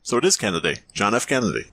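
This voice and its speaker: A man's voice: on the low side, gravelly, slight background noise. A man co-hosting a comedy podcast, wisecracking as he reads fan fiction aloud and comments on it. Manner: animated, wisecracking asides. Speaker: podcast host.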